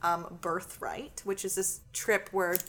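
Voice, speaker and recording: Woman, twenties, from Canada, casual podcast chat, bright tone, giggly storyteller. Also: on the low side, level tone, slow pace, slight background noise. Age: 20s. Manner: giggly, chatty storyteller with frequent laughs. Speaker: podcast co-host.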